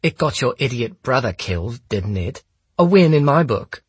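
A man's voice: medium pitch, sing-song, moderate pace, breathy edge, no echo, pitched above the performer's own voice. A man voicing a cool, defiant young woman in an audiobook, with a level, sardonic, taunting delivery. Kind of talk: audiobook character dialogue.

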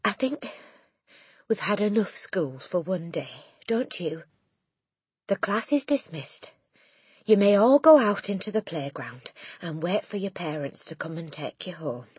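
A woman voicing a calm, kind young female teacher who speaks steadily. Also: on the low side, unhurried, slightly rough, no echo, exaggerated voice. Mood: relieved kind.

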